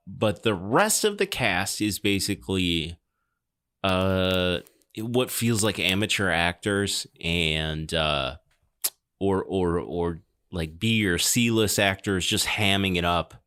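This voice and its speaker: Low-voiced man hosting a casual podcast. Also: slow pace, bright, forceful tone, clean tone. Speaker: podcast host. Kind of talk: casual film discussion and show announcements.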